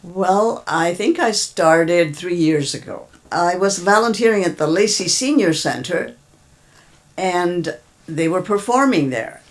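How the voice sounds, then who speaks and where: Gravelly older woman telling a rambling story. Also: fairly low pitch, lively intonation, unhurried. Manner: hesitant, rambling storytelling with many fillers. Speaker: event coordinator. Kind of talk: casual interview talk.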